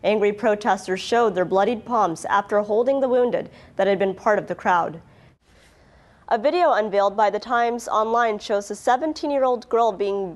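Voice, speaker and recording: A woman presenting the news, reading scripted reports in a formal, clearly worded delivery. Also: mid-range voice.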